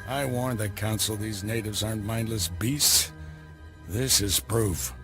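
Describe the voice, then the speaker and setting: An actor playing an older male Jedi Master in a video game, with a calm, reassuring manner. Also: fairly low pitch, unhurried, natural voice. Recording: game cutscene with music behind.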